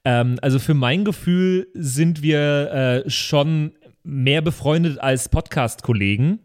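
A man's voice: fairly high pitch, slow. A man hosting a podcast, chatting casually with friends and moderating listener questions.

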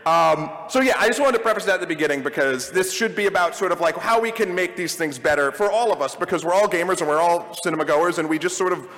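High-voiced man hosting a live panel. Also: fast, hardly any pauses, a bit bright, long reverb tail, rough recording. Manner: theatrical speech-giving opener. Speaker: podcast host. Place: convention panel stage.

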